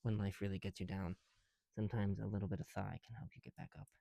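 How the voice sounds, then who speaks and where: Casting: male ASMR creator, relaxed dark tone, casual muttered chat, very dry close sound. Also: lowish voice, fast talker, gravelly, no room sound. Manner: softly muttering, drops into whispered counting; casual, mildly profane. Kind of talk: ASMR, casual chat while building with bricks.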